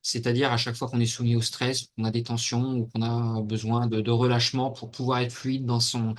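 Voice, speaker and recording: Interview guest, man in his forties from Normandy, even flat delivery, steady and detailed. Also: mid-range voice, brisk, slight background noise. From Normandy, France. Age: 40s. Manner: steady, detailed account with frequent fillers. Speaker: guest, athlete and mental coach. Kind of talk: casual interview talk.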